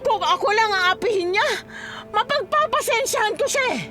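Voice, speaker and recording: A woman's voice: extremely high pitch, animated, normal speed, bright, forceful tone, faint music behind, full character voice. A woman playing a furious, protective middle-aged village mother with a Filipino accent, scolding and threatening in radio melodrama. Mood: indignant.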